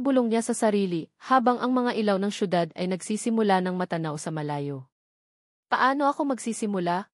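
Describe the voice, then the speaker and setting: A woman's voice: medium pitch, darkish tone, no echo, clean studio sound. Woman narrating a dramatic love story. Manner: earnest, measured storytelling.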